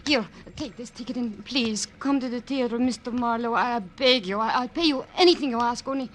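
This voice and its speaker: An actress playing an anxious, melodramatic prima ballerina in 1940s radio drama style, halting and repeating words in distress. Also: female voice, fairly high pitch, natural voice. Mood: urgent pleading. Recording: noisy old radio recording.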